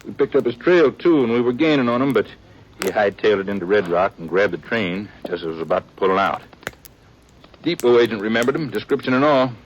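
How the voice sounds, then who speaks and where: An actor playing a steady, plainspoken western sheriff in an old-time radio drama, folksy and measured, with an American accent. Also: male voice, lowish voice, close to an everyday voice. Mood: weary, matter-of-fact. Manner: folksy, measured, repeats himself. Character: plainspoken, steady frontier sheriff, a grown man. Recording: muffled, noisy vintage radio recording.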